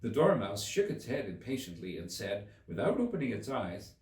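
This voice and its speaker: A man's voice: fairly low pitch, very animated, moderate pace, darkish tone. Male audiobook reader with hugely varied intonation, scripted storytelling, slightly distant roomy sound. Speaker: audiobook narrator. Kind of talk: animated storybook narration.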